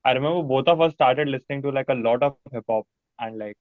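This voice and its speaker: A dry, slightly dull recording of a man with an Indian accent. His voice is mid-pitched, and he chats casually and keenly as a guest in an interview. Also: fast talker, no echo. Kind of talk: casual chat. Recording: dull, lo-fi audio.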